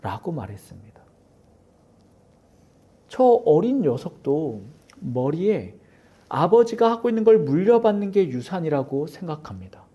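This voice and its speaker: A man's voice: fairly high pitch, dark tone. A man from Seoul preaching a sermon as pastor of his church. He preaches dramatically, acting out quoted voices and sometimes dropping to a near whisper. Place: church sanctuary.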